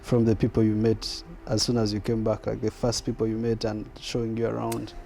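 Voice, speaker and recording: A man's voice: on the low side, monotone, brisk, gravelly, dark tone, soft background music. A man hosting an interview, asking probing questions and often finishing his guest's sentences. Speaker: interviewer host. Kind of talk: conversational interview.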